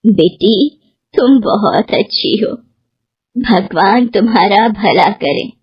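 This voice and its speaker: A woman voicing a meek, sorrowful old mother-in-law, halting and tearful, in a story. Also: medium pitch, husky, dry recording, muffled recording. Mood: weakly grateful.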